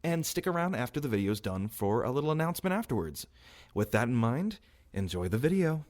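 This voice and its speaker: A man's voice: medium pitch, big pitch swings, fairly quick, darkish tone. A man who makes roleplay stories, casually introducing one to his viewers. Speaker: creator and narrator of roleplay series.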